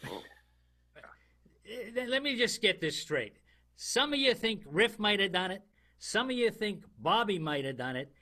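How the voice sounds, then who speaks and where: A man playing a blustering, bumbling detective in an improvised radio play, halting and repeating himself. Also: high-pitched, sing-song, sharp tone, heavily acted. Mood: puzzled. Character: bumbling, blustering male detective questioning suspects.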